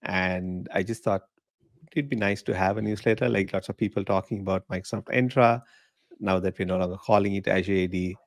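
Deep gravelly-voiced man, from Sri Lanka. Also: brisk, dry recording, studio quality. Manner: relaxed, chatty, laughs often. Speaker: podcast guest. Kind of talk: casual interview chat. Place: home living room. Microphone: podcast mic in frame, video call.

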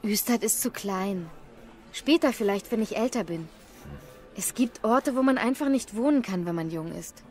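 An actress playing a frank, outspoken young daughter in audio drama dialogue. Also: female voice, medium pitch, lively intonation, normal speed, a little mellow, close to an everyday voice. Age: young adult.

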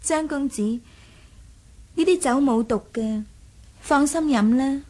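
A young noblewoman with a high voice, in the style of 1980s radio drama. She is proud and willful, coy one moment and imperious the next, with a ruthless, teasing edge. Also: slow, darkish tone. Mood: coy, composed.

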